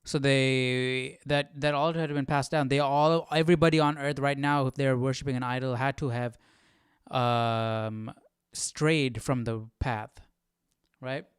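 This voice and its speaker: A man's voice: mid-range voice, fairly even intonation, unhurried, clear, resonant tone, dry recording, clean studio sound. A man hosting a Bible study with casual, reflective commentary, questioning and thinking aloud.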